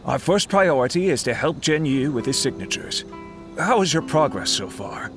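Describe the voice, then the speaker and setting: Brief: a steady team leader, animated intonation, directive, game dialogue. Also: male voice, on the high side, moderate pace, over music. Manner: calm, directive. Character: adult male publishing editor, steady leader. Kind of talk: video game voice acting.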